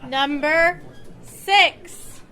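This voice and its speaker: A woman's voice: high-pitched, drawn-out words. A woman casually hosting and announcing at a live gathering, informal and jokey about her own slips. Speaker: quiz host announcing numbered music clips. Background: loud music playing.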